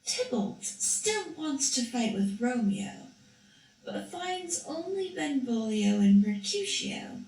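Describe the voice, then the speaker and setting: A woman's voice: high voice, very animated, slow pace, a little mellow, recorded from a distance. A woman narrating a story for a school puppet show, reading carefully with a few restarts. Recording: echoey room, voice from off-mic.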